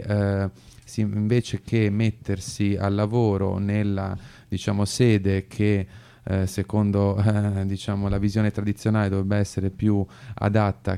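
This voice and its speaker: A man giving semi-improvised political commentary in a halting way, often searching for his words. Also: on the low side, vocal fry, warm tone, clean tone. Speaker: radio commentator.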